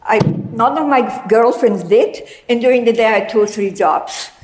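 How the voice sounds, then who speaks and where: Lively older woman with a German mother tongue. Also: on the high side, normal speed, reverberant, low-quality recording. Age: 80s. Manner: lively, rambling, with frequent restarts. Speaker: guest speaker. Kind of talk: storytelling talk to an audience.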